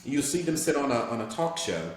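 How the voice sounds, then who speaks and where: A man speaks as a guest in a relaxed interview, earnest and reflective as he shares his thoughts on acting. His voice bounces around a very reverberant room. Faint background noise sits underneath, and his pitch moves in a lively way. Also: a little fast. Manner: reflective, earnest, often asks "you know what I'm saying". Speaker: guest voice actor. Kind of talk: casual interview conversation.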